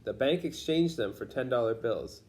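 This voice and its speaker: A man's voice: medium pitch, animated, normal speed, darkish tone. Man reading a children's picture book aloud. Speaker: storybook reader.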